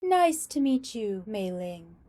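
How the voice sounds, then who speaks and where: A woman's voice: medium pitch, big pitch swings, slow pace, warm tone. An actress playing a gracious, sociable woman who manages a care home in a radio drama, polite and effusive. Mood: polite, concerned.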